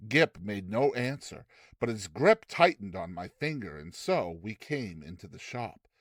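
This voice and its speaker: A man narrating a classic short story in a theatrical, expressive audiobook style. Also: lowish voice, a little slow, dry recording, studio quality.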